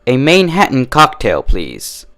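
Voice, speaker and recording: Prim cartoon gentleman pony a man acting, formal and fussy, phrases starting high and dropping away. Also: wildly swooping pitch, unhurried, heavily acted. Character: polite, fussy gentleman stallion pony, precise customer. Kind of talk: cartoon fan-fiction character dub.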